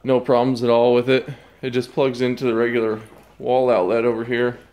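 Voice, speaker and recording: A man casually showing off his hot tub in a garage, talking in a relaxed, rambling way with plenty of fillers, recorded on a handheld phone. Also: mid-range voice, a little fast. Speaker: product reviewer. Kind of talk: casual product walkthrough.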